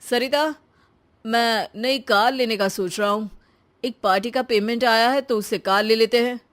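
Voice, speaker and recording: In a cartoon story, a brash young husband who loves to show off throws his money around and talks big, loud and pleased with himself, as a woman voices him. The voice sits fairly high for a man. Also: steady tone, normal speed, natural voice. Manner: boastful, brash. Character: showy spendthrift young husband, boastful. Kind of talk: cartoon dubbing.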